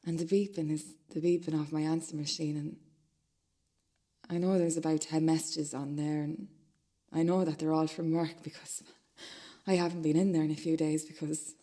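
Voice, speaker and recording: Woman acting a rambling, low-voiced, transfixed young woman. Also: level tone, warm tone. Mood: agitated, dazed. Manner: halting, repetitive, conversational confessional storytelling. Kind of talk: dramatic monologue in a radio play.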